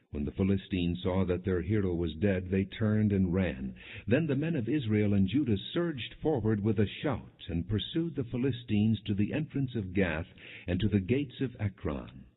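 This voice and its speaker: Low-voiced man reading scripture, muffled recording. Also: breathy edge. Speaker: audiobook narrator. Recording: muffled, phone-like narrow band.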